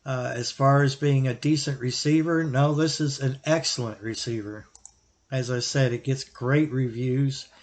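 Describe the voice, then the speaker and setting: Man giving halting, informal gear advice. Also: medium pitch, fairly even intonation. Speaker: YouTube radio hobbyist and reviewer. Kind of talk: informal product recommendation, explanatory.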